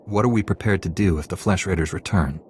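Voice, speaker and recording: An actor playing an earnest, resolute young Jedi apprentice in a video game, speaking in a serious, firm way. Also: male voice, deep voice, quick pace, a little mellow, slight background noise, lightly acted. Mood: serious, questioning. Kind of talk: video game cutscene acting.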